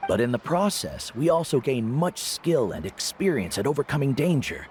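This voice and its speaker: An actor playing a veteran guide and teacher who speaks in a measured, reflective way in game dialogue. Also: male voice, on the low side, a little hiss or hum. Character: older male guide mentor, calm and wise. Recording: game audio with faint music.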